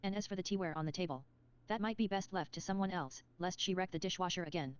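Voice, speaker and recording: A woman reading scripted story narration in a measured, articulate storybook manner. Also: on the low side, smooth, lo-fi sound, lightly acted. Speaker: narrator of character lore.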